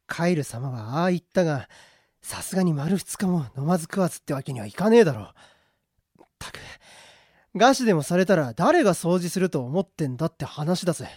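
Man as a gruff, animated guard, dry sound. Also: on the high side, brisk, no echo. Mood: gruff kindness. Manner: blunt, rough slangy talk. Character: gruff but kind-hearted rough-talking male prison guard. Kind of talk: amateur radio drama acting.

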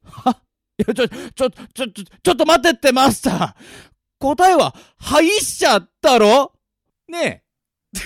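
Woman voicing a high-pitched bratty boy. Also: sing-song, a little slow, long pauses, no room sound, clean studio sound, full character voice. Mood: panicked. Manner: boyish, childish speech, rough masculine wording. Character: bratty, smug young boy player, easily panicked. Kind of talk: Japanese radio drama acting.

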